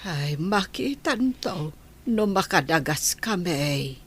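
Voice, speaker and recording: A woman playing a frail, ailing grandmother in a radio drama, sighing and hesitant with a husky voice. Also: on the low side, animated, takes it slowly, full character voice. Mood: reluctant.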